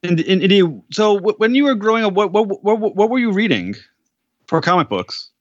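A man's voice: sits high, sing-song, moderate pace, no room sound, lo-fi sound. A man interviewing a guest, chatty and laughing, asking long wandering questions. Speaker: podcast interviewer. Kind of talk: casual interview chat.

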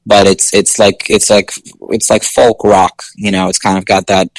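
A male singer with a low speaking voice, chatting casually in an interview with many fillers and restarts. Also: level tone, normal speed, dry recording.

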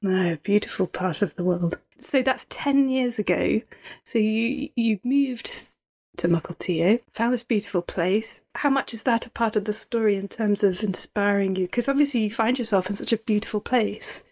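A woman hosting an interview podcast, warm and enthusiastic, quick with praise. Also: mid-range voice. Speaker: podcast host.

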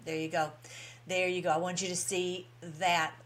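A woman's voice: lowish voice, level tone, moderate pace. A woman hosting an informal political commentary show, talking to her followers in a folksy, emphatic way and repeating phrases for stress.

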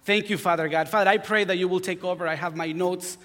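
A man's voice: sits high, steady tone, quick pace. A man in his forties from Honduras with a Honduran Spanish accent, preaching a sermon as pastor, joking about himself and building to fervent exhortation. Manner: warm self-deprecating humor, rising to fervent preaching. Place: church sanctuary. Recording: long hall reverb.

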